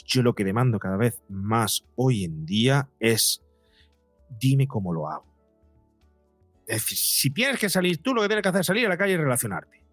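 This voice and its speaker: A man's voice: mid-range voice, big pitch swings, moderate pace, fairly bright tone, no room sound, faint music behind. A middle-aged man speaking as an interviewed psychologist, explaining earnestly and emphatically in a conversational way, often acting out imagined dialogue.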